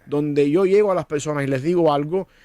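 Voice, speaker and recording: Cuban-born pastor guy, earnest, kinda high voice, dry close sound. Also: fairly quick, dark tone, dry recording. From Cuba. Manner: earnest, preacherly, repeats words when starting. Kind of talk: conversational discussion.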